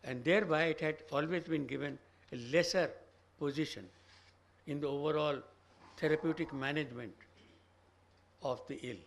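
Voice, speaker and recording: An older man with a slightly hoarse voice, giving a measured, careful address as a senior guest at a conference. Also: on the high side. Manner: slow, measured, choosing words carefully. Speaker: chief guest, professor emeritus of neurosurgery. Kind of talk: formal address. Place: conference hall.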